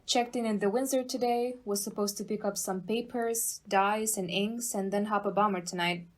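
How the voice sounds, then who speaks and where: A woman's voice: medium pitch, fairly even intonation, moderate pace, lightly acted. A woman acting an agitated, emphatic female police inspector in an amateur audio play. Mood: worried, exasperated.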